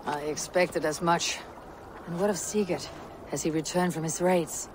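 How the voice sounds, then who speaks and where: Guy doing young viking hero from a game, high voice, terse n brooding, water noise behind. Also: fairly even intonation, normal speed, natural voice. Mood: steady, dry. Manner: terse, formal, archaic phrasing. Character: bold, brooding young Viking warrior, male. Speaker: video game protagonist. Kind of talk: dramatic game cutscene acting.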